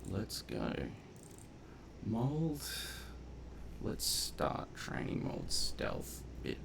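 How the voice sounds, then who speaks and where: A man's voice: medium pitch, wildly swooping pitch, very slow, vocal fry, noisy background. A man giving casual gameplay commentary, thinking aloud in a laid-back, colloquial mutter. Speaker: gameplay video host.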